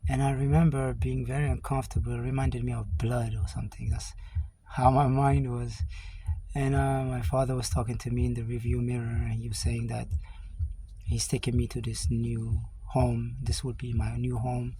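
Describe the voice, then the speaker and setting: A man in his forties from Ethiopia, telling his life story to the camera in a halting way, with many fillers and restarts. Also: mid-range voice, little pitch movement, dark tone, slight background noise, low-quality recording. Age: 40s. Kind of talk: slow personal storytelling to camera. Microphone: camera mic.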